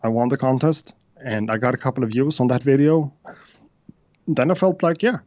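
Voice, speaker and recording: A man's voice: medium pitch, expressive, normal speed, a little mellow, no echo. Swedish guy talking beats on a video call, lots of uhs, muffled and dry. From Sweden. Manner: hesitant, many uhs and restarts. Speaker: guest beatmaker. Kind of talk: casual interview chat.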